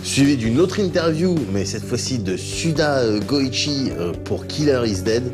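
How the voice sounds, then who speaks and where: A man's voice: medium pitch, expressive, unhurried. A man co-hosting a show in a casual presenting style, with music behind him. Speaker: co-host. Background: music under the voice.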